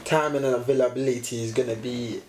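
A man's voice: mid-range voice, steady tone, a little fast, darkish tone, slight background noise. A young man on a sofa indoors, co-hosting a casual motivational chat and emphatically repeating his key lines. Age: young adult. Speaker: co-host, fitness vlogger. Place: indoor room, seated on sofa. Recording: roomy echo.

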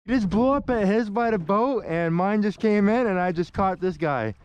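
A man's voice: high-pitched, lively intonation, moderate pace, highs cut off. A young man filming himself fishing from a small boat, hyped and whooping as he talks viewers through each catch. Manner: hyped, whooping, keeps calling out to the guys. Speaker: fishing vlogger. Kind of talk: excited fishing commentary to viewers. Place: small aluminum boat on a windy lake. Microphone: action camera on the boat. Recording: heavy wind noise on the mic. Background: wind and water.

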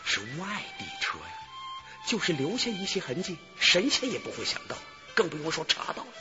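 A man's voice: sits high, very animated, brisk, pushed and bright, full character voice. An actor playing a scheming, hot-headed henchman in a radio drama, coaxing and emphatic in a conspiratorial way. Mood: brash, insistent. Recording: muffled broadcast with music behind.

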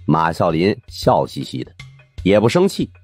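A man narrating an audiobook in a colloquial, wry and expressive first-person storytelling style. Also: deep voice, normal speed, slightly rough, faint music behind.

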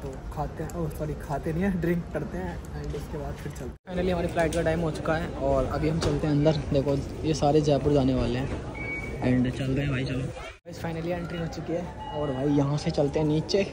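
A young man from India vlogging his trip through an airport onto a plane, chatty and excited, talking to his viewers as guys and mixing in English words. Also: on the high side, a little fast, background noise. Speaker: travel vlogger. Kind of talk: excited handheld travel vlog. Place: airport terminal and plane cabin, indoors.

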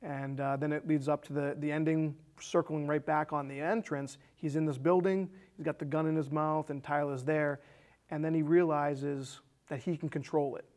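A man in his thirties speaks in a casual, conversational way as a film show co-host. His voice sits a little higher than most men's. He retells stories as if talking to a friend across the table. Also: level tone, moderate pace, darkish tone. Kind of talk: casual movie discussion, retelling a plot. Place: small indoor studio set with curtains.

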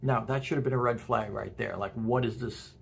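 A middle-aged man with a husky, slightly breathy voice, talking straight to the camera from a room at home. His pitch sits in an ordinary middle range, and he speaks earnestly with animated emphasis. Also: fairly quick. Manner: earnest, animated, gesturing, with short laughs. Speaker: self-help video host. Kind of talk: casual direct-to-camera talk. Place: home room with porch window and plants.

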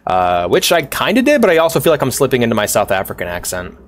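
A man streaming a story game, reading lines aloud and reacting with loud, profane excitement. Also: medium pitch, quick pace, a little hiss or hum. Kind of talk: gaming livestream, reading dialogue aloud.

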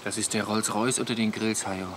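An actor playing an easily impressed camper pal in a comic radio play. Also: male voice, on the low side, fairly even intonation, brisk, natural voice. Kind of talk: German radio play acting. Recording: noisy outdoor ambience.

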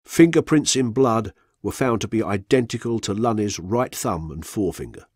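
Male radio narrator, true-crime script: measured, factual, husky edge, dry studio sound. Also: lowish voice, a little mellow, no room sound.

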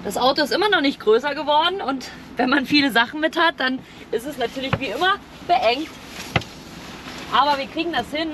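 High-voiced woman vlogging casually over background noise. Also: a little fast. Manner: chatty, informal, explaining as she goes. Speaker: camping vlogger. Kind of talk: casual vlog narration. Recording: clearly noisy, outdoor and vehicle ambience.

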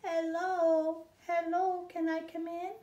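A woman's voice: very high voice, level tone, takes it slowly, roomy sound, muffled recording, background noise, voice pushed up. A woman voicing an eager, innocent little baby bird in a storybook, pleading in a sing-song.

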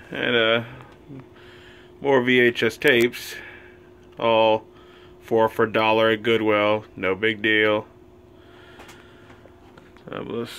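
A man giving a casual filmed tour of his collection, listing items slowly and offhandedly with many fillers, recorded on a handheld phone in a small wood-panelled room. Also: lowish voice, fairly bright tone, smooth. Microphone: phone camera held while walking.

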